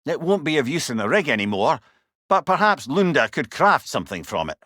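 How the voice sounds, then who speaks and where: Old Scottish sage, lively swooping intonation, wry, eloquent, rueful, video game companion, very dry close sound. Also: male voice, medium pitch, a little fast, no echo. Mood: reflective. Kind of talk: video game character dialogue.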